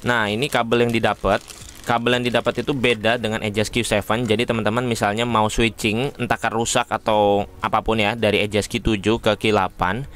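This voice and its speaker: A man's voice: lowish voice, fairly bright tone. A man talks like a motovlogger doing a casual unboxing. He addresses viewers informally as friends. Music plays faintly behind him. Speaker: motovlogger reviewing gear. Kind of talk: casual unboxing and review chat.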